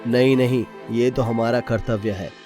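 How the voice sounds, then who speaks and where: A man's voice: medium pitch, steady tone, moderate pace, music in the background, natural voice. A man playing a kindly, dignified king who speaks formally in a cartoon story. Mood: reassuring. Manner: regal, formal. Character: kindly, dignified king of a fairy-tale kingdom.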